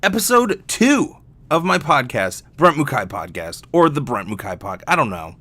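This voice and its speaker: A man's voice: medium pitch, sing-song, moderate pace, bright, forceful tone, slight background noise. A young adult man talking casually and candidly as a solo podcast host, rambling and swearing freely.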